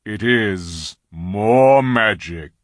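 A man voicing a great, kind lion, speaking slowly and gravely. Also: lowish voice, animated, no echo, clean studio sound. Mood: solemn. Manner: slow, grave and resonant. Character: great male lion, solemn, majestic and kind.

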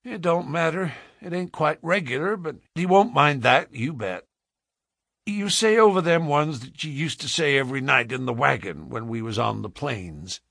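A man voicing a weary, hardened adventurer who speaks gruffly in plain, rustic frontier speech, as in a storybook. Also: animated, moderate pace, a bit bright, no echo, studio quality, heavily acted. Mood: diffident, earnest. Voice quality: deep, harsh voice.

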